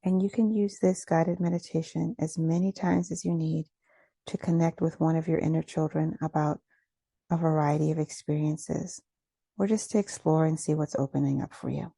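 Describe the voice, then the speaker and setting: A woman leading a guided meditation, giving gentle, soothing instructions with unhurried phrasing and pauses. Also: low-pitched, fairly even intonation, creaky, slightly rough, no echo.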